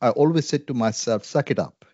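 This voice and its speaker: A man's voice: medium pitch, brisk, a little mellow, no room sound, lo-fi sound. A man from India with an Indian English accent, a Salvation Army minister talking earnestly and reflectively, with frequent fillers. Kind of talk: conversational interview.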